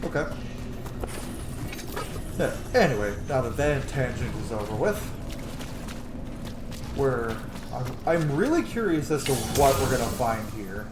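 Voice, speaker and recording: A man giving casual, animated gameplay commentary as a streamer, rambling into asides and swearing freely. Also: mid-range voice, unhurried, long pauses, roomy sound, noisy background. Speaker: game streamer on own channel. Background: game music.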